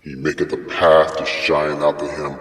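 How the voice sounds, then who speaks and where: A man's voice: very deep voice, little pitch movement, moderate pace. A man voicing God as a stern, commanding deity in a solemn, grand dramatic scripture reading. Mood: majestic. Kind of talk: dramatic Bible reading in archaic English. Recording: long cavernous reverb with music behind.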